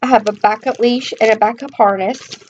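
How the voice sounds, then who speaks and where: A woman's voice: fairly high pitch, steady tone, brisk, darkish tone. Chatty young woman on a lo-fi webcam. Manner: chatty, rambling, lots of ums. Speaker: vlogger. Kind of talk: casual show-and-tell vlog. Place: bedroom, indoors. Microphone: webcam at close range. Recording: dull lo-fi webcam audio with faint hiss.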